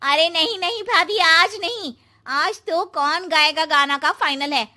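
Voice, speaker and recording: Woman acting a brash, high-voiced young man. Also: a little slow, pushed and bright, full character voice. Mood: pushy. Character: brash young male freeloading cousin, cheerfully presumptuous.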